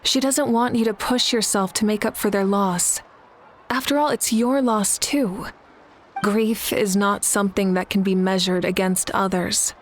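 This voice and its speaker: A woman voicing a composed, logical and compassionate female leader in a video game, speaking in a measured, matter-of-fact way. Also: medium pitch, steady tone, a little hiss or hum, natural voice. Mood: gentle, solemn. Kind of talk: scripted video game voice acting. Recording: music behind the voice.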